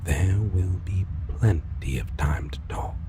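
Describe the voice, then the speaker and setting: A man playing a tender, possessive vampire lover in a dark fantasy audio roleplay, speaking intimately and hushed in formal, old-fashioned phrasing. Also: deep voice, slow, background noise. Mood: possessive, soothing.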